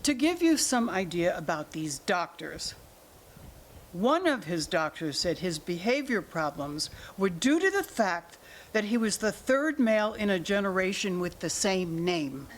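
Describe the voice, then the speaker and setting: A woman's voice: on the low side, big pitch swings, measured pace, a little hiss or hum. A woman in a staged play reading, playing a middle-aged mother of grown children who is defensive, evasive and pleading. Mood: self-justifying. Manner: pleading, self-justifying.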